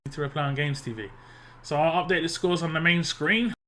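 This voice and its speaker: A man casting a video game match live, tired and losing his voice, with frequent fillers and quick self-corrections. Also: on the high side, steady tone, pushed and bright. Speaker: esports caster.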